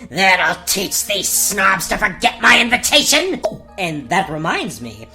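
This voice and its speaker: Woman acting a wicked fairy, gloating, scheming, wildly animated, comic radio fairy tale, music behind. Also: mid-range voice, a little slow, pitched below the performer's own voice, exaggerated voice. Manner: gloating, villainous. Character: gleefully evil fairy queen, cackling and scheming.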